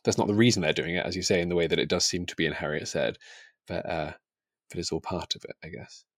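A man's voice: low voice, fast talker, a bit bright, no room sound, studio quality. A man co-hosting a conversational book discussion, tentative and hedging as he trails off from his points. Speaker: podcast co-host.

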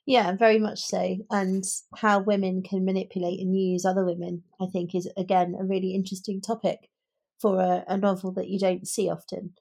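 A woman chats casually about books as a podcast co-host. Her voice sits at an average female pitch. She is reflective and tentative, working out her opinion as she speaks. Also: little pitch movement, normal speed, creaky, a little mellow, slightly rough. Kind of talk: casual book discussion.

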